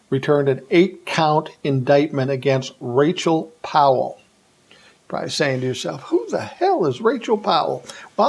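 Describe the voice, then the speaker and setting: An American man with a voice pitched a little above average delivers political commentary as a solo monologue. His phrasing is blunt and colloquial, with emphatic points. He often sets up rhetorical questions and then answers them himself. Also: darkish tone. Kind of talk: opinionated political monologue to an audience.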